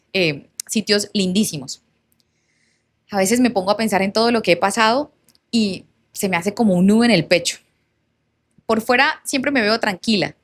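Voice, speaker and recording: A woman's voice: medium pitch, a bit bright. A woman narrating and reading a personal storytime in a conversational way, with asides to her viewers. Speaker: content creator reading followers' stories.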